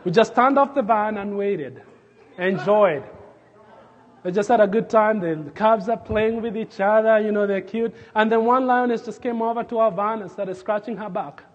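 A man from Kenya, preaching a sermon and telling a story with fillers and restarts, sometimes dropping his voice to a hush. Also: high voice, moderate pace, big-room sound, muffled recording. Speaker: pastor.

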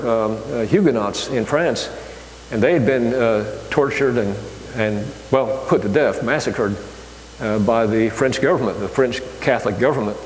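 An older man giving a sermon in a church, talking in a conversational, halting way with many fillers and restarts. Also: mid-range voice. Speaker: preacher. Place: church hall. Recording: echoey hall, faint hum.

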